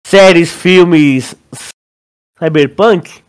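A man's voice: fairly high pitch, drawn-out words, smooth. A Brazilian man co-hosting a podcast, theorizing in a casual, slangy and rambling way. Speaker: podcast co-host. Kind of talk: casual chat, theorizing about a series.

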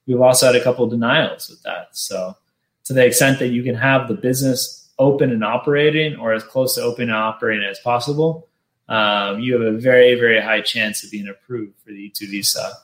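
A man hosting an informational webinar, explaining steps in a steady, matter-of-fact way. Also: mid-range voice, fairly quick. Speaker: webinar host, business consultant. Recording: roomy echo.

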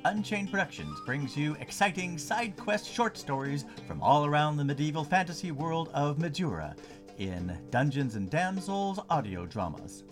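A man's voice: fairly high pitch, expressive, normal speed. A man narrating a scripted promotional trailer as its announcer. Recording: music bed behind voice.

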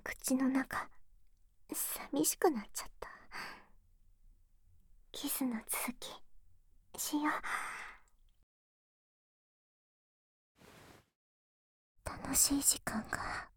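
A woman playing a shy, jealous and devoted young tsundere girlfriend in an intimate ASMR voice drama, breathy and hesitant, close to a binaural microphone. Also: high voice, steady tone, takes it slowly, long pauses, no echo. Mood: tender, longing. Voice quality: breathy whisper. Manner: bashful, hesitant, confiding. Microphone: close binaural microphone, dry.

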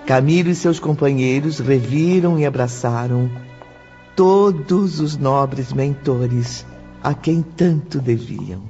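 A man's voice: mid-range voice, slow pace, dark tone. A man with a Brazilian accent reading scripted narration for a radio drama. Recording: muffled radio drama with music.

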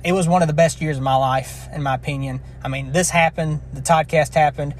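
A man's voice: on the high side, steady tone, a little fast. A man of twenty-one hosting his own podcast in a casual, rambling, earnest way, his throat scratchy from a long session. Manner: rambling, earnest, with frequent restarts. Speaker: podcast host. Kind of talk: casual solo podcast wrap-up.

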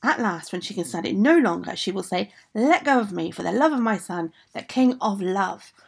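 A woman's voice: medium pitch, lively intonation, gravelly, breathy edge. Woman doing a conspiratorial young ogress daughter. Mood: urgent. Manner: brisk instructions, hushed whispering asides.

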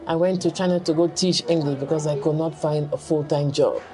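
A woman's voice: deep voice, fairly even intonation, brisk. A middle-aged woman from Cameroon telling her personal story as an interview guest, speaking in short, emphatic phrases. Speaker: podcast guest, former teacher and coach. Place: busy convention center, recorded on location. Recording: crowd chatter in busy hall. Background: crowd noise.

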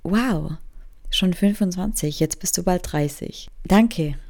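A young German woman in her twenties talks through a casual solo podcast episode. Her voice sits low and carries a gravelly fry. She rambles from thought to thought, teases herself, and sprinkles English phrases into her talk. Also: takes it slowly, a little mellow. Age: 20s. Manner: rambling, self-mocking, drifts off topic. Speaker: podcast host.

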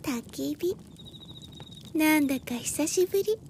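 A woman's voice: high-pitched, expressive, takes it slowly, a little mellow, slight background noise, heavily acted. An actress playing a poised, mysterious woman who lives in the forest, with composed, ladylike phrasing in a radio drama. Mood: serene, friendly.

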